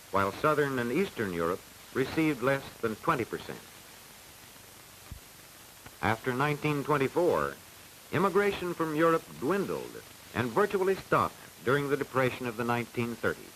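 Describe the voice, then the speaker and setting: An American man reading scripted narration for a 1940s educational film in a formal, measured, declamatory way, on a hissy old soundtrack. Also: medium pitch, very animated, a bit bright, poor audio.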